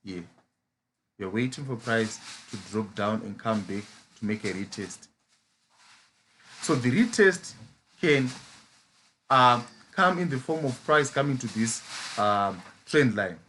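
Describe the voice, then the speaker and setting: A man's voice: medium pitch, expressive, measured pace, pauses often, fairly bright tone, slight background noise. A man from Zimbabwe with a Zimbabwean English accent, a trading mentor who explains chart patterns in a live lesson and keeps asking rhetorical "as a what?" questions.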